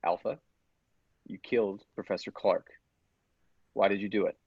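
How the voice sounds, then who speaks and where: A man's voice: lowish voice, steady tone, normal speed, slightly rough, no room sound, lo-fi sound. A man playing a persuasive, controlling scientist in an old-style radio play, with a commanding, insistent manner. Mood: stern. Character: persuasive, controlling male laboratory scientist with dangerous ambitions.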